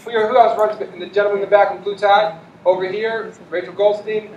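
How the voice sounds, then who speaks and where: High-voiced moderator in an echoey hall. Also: male voice, fast talker, distant mic, dull audio, a little hiss or hum. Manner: energetic, addresses the crowd. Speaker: panel moderator. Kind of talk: moderating a panel, polling the audience. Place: large conference hall.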